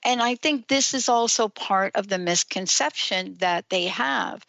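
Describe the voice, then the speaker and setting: A woman's voice: medium pitch, unhurried, gravelly, a bit bright, no room sound, highs cut off. A woman talk radio host with a New York accent, chatting and asking questions in a rambling way full of fillers. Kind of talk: radio talk show interview.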